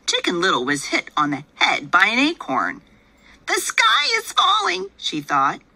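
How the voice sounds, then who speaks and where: A woman reads a picture book aloud in a soft, breathy voice. Her pitch moves a lot, and she keeps a slow, patient pace with a bright tone. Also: wildly swooping pitch. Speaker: teacher reading aloud. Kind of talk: storybook read-aloud, playing the father.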